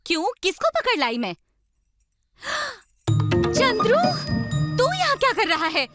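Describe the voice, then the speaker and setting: A woman playing an excitable, exasperated village mother in a children's cartoon, with a dramatic, indignant manner. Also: extremely high pitch, takes it slowly, over loud music, exaggerated voice. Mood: flustered, bewildered.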